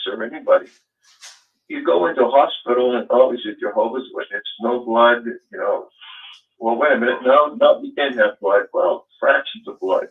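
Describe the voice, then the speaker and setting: Rambling man host on a tinny line. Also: mid-range voice. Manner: rambling, questioning, many fillers. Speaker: call-in show host. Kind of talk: call-in show talk. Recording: phone-like line.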